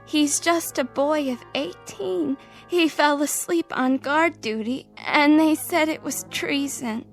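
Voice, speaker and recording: An actress playing an older grandmother who begs desperately for her grandson, in a radio drama. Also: high-pitched. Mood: pleading. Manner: pleading, slow and tearful. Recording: radio drama with music beneath.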